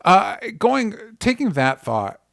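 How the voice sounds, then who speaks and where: A man's voice: high voice, huge pitch swings, slow pace, gravelly, fairly bright tone, dry recording. Casual male podcast host, dry sound. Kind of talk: casual conversational hosting.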